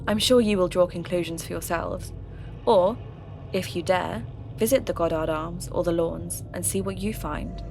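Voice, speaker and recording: A woman acting as a radio host presenting a ghost story podcast over a clear music bed. Her voice sits fairly low, and she narrates in a measured, reflective way, sceptical yet intrigued.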